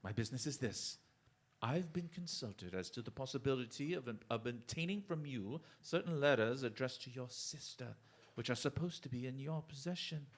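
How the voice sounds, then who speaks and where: Male actor as gentleman detective: mid-pitched, formal, deliberate, chivalrous radio-drama delivery. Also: lively intonation, close to an everyday voice. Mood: polite. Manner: formal, polite, theatrically deliberate. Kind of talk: stage-style radio drama acting.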